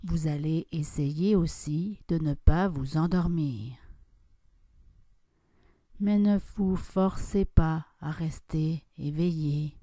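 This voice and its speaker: A woman guides a deep relaxation session in a mellow, soothing tone. She speaks extremely slowly and softly, leaving long silences between instructions. The sound is dry and close, with no room reflections. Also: no room sound. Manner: calm, soft instructions with long pauses. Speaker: yoga nidra guide.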